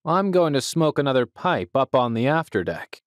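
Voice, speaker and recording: A man acting a self-possessed, reflective big-game hunter in an audio drama, musing and relaxed. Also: mid-range voice, moderate pace, dry recording, clean studio sound, natural voice. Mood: easygoing.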